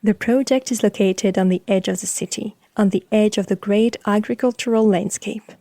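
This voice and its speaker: A woman podcast host reading scripted narration about architecture in a measured, formal way. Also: medium pitch, steady tone, creaky, dark tone, dry recording, clean studio sound.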